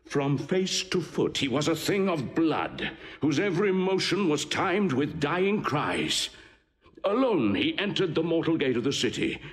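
An actor playing a grave, ardent Roman general praising a warrior in measured, declamatory Shakespearean verse. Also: male voice, on the high side. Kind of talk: classical Shakespearean stage acting. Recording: long hall reverb.

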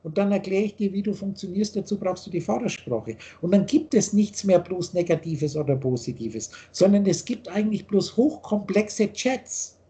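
A man's voice: high voice, normal speed, hardly any pauses, mellow. An older man on a video call from a home office, lecturing insistently on spiritual ideas and gesturing as he talks. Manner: insistent, gestures a lot while holding forth. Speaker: spiritual teacher. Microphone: video call webcam.

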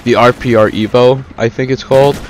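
A man's voice: medium pitch, fairly even intonation, brisk, a little hiss or hum. A young man casually reviewing a shooter game over gameplay, rambling with many fillers and restarts. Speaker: gaming YouTuber.

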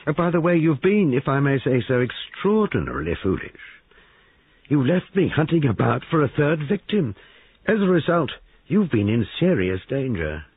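A man voicing a brisk, self-satisfied young man posing as a policeman in an audiobook. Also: very animated, breathy edge, no room sound. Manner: brisk, confident, lifting pitch. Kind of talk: audiobook character voice. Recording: narrow phone-like sound, dry.

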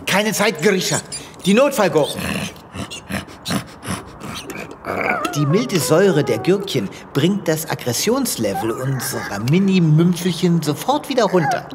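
An actor playing an eccentric, earnest space veterinarian in a comedic sci-fi audio drama, with a dramatic, quirky delivery. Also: male voice, a little high, huge pitch swings, moderate pace, noisy background. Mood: busy, encouraging. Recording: loud ambience and sound effects behind.

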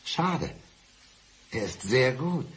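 An actor playing a calm, embittered, menacing ex-husband in a radio crime play, speaking with measured, mock-gentle politeness. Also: male voice, medium pitch. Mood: coldly calm, menacing. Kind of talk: 1990s German radio crime play acting.

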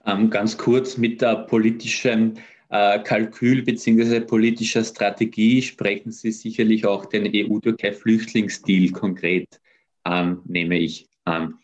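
A young man asks questions over a video call, polite and careful, with a few hesitant fillers. His voice sits low. The sound is dull and lo-fi, as from a call. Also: little pitch movement, unhurried. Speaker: interviewer. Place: room at home.

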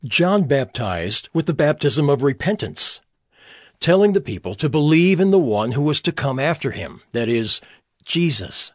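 A forthright apostle declaims in a Bible audio drama. The voice is fairly high for a man and husky, with animated intonation. He preaches emphatically and with fervor. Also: normal speed, dry recording, clean studio sound. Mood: earnest, probing.

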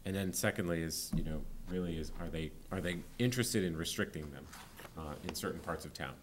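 Very deep-voiced man at a town meeting. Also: a little fast, noisy background. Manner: hesitant, many ums and restarts. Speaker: town planning official. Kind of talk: answering questions at a public meeting. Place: town hall meeting room. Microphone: desk microphone.

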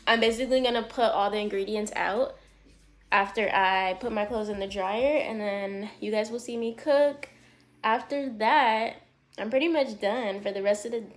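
A woman in her twenties from California, chatting casually through her day in a vlog, rambling with lots of fillers, filmed on a phone at arm's length in a small apartment. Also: mid-range voice. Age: 20s. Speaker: lifestyle vlogger. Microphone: phone camera held at arm's length.

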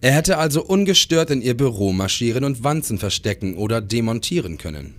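An actor playing a sober, analytical police investigator in a radio crime drama, speaking in a measured, reasoning way. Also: male voice, mid-range voice, sing-song, close to an everyday voice. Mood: sober, suspicious.

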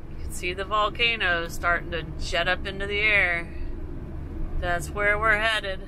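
A woman's voice: mid-range voice, steady tone, unhurried. A woman in her forties narrating a casual, upbeat travel vlog from a camper van, filmed on a handheld action camera. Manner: chatty, upbeat, narrating the drive. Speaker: travel vlogger. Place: camper van on the road and outdoors. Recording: road and engine noise behind.

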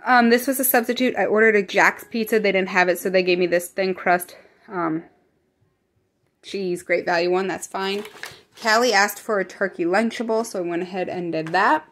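Woman, American accent, medium pitch, casual haul narration, chatty, matter-of-fact, indoor home recording. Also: fairly even intonation, normal speed, a bit bright. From Midwest US. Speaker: vlogger. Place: indoors at home, dining room.

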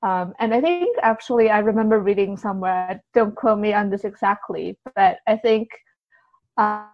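A woman's voice: medium pitch, normal speed. Woman guest with slightly dull audio. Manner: tentative, hedging, peppers talk with "like". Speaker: podcast guest. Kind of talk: casual interview, explaining.

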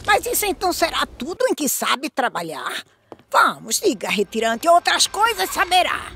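A woman with a Brazilian accent playing a shrewd village prayer woman who profits from death, declaiming verse in an animated film. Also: high-pitched, moderate pace, gravelly, a little hiss or hum, heavily acted. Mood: probing.

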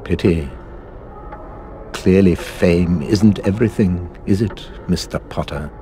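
A man playing a cold, middle-aged male potions professor in a video game, with a British accent and sarcastic, measured, formal speech. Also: low-pitched, warm tone, a little hiss or hum. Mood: cold, mocking.

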